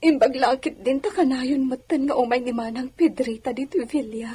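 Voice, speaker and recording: A woman acting a gentle, mistreated young lady in a radio drama, plaintive and sighing. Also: sits high, fairly even intonation, normal speed, natural voice. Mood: hurt, tearful.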